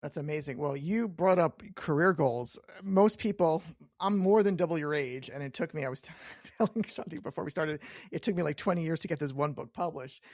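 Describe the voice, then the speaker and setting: A man's voice: on the high side, a little fast, a little mellow, no echo, clean studio sound. A middle-aged man hosting a podcast, interviewing casually in an enthusiastic, encouraging, chatty way.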